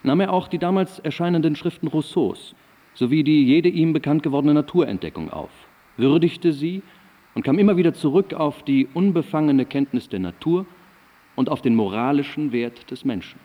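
An actor playing a learned, reverent admirer recalling an esteemed man in a radio drama, formal, literary and deliberate. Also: on the high side, expressive, highs cut off. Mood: reverent, admiring. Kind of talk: radio drama recital of historical testimony. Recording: reverberant hall sound.

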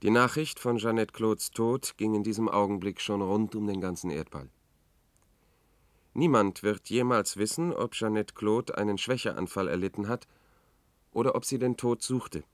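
Guy acting as tired sober reporter in a radio play, lowish voice, super dry, grave measured telling. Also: no room sound, close to an everyday voice. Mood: weary, factual. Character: weary, sober male journalist recounting a tragedy. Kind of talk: radio drama first-person narration.

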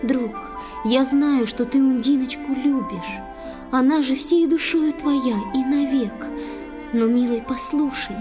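A woman playing a gentle, humble young water-spirit bride full of tender sorrow in a poetic verse audio drama. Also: high-pitched, pitched above the performer's own voice.

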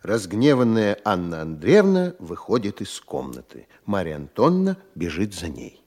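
Casting: male narrator for a radio play, lowish voice, animated reading of stage directions. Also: normal speed, creaky.

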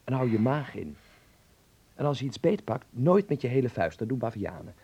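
An actor playing an eager, bossy young son who dreams of films, in a Dutch radio play, with a husky, creaky voice and an instructing, fervent manner. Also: male voice, mid-range voice, sing-song, normal speed, mellow, highs cut off. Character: eager, bossy young film-mad son, stage-struck.

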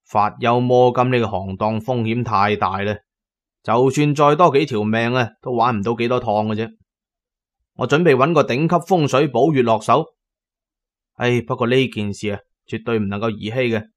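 An actor with a Cantonese accent playing a pragmatic tomb raider who tells his own adventures in a radio drama, measured and colloquial. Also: mid-range voice, close to an everyday voice. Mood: resolute, considered. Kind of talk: first-person radio drama narration and dialogue.

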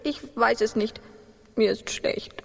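A man's voice: extremely high pitch, slow, creaky, fairly bright tone, in a large hall, exaggerated voice. An actor playing a timid, flustered young male mouse in a children's radio play, stammering and whiny. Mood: panicky, queasy.

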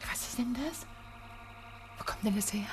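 An actress playing a professor's young daughter in a German radio play, anxious, helpful and expressive, often questioning. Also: female voice, a little high, normal speed, noisy background, close to an everyday voice. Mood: frightened.

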